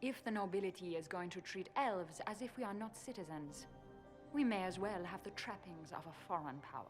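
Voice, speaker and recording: An actress playing a shrewd, sly elven ambassador in a fantasy game, speaking in a measured, insinuating way. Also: female voice, lowish voice, lightly acted. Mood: guarded. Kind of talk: dramatic game dialogue. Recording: music behind the voice.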